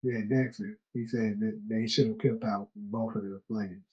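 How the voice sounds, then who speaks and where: A man co-hosting a casual TV show discussion, relaxed and quick to laugh, reading out viewer comments. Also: fairly low pitch, highs cut off. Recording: faint music behind.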